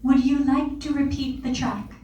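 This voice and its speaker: A woman voicing a cool, blunt smart-speaker assistant, measured and matter-of-fact, in a live comedy table read. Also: sits high, dark tone, dull audio, close to an everyday voice. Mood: cool, detached. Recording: echoey room, distant mic, faint noise.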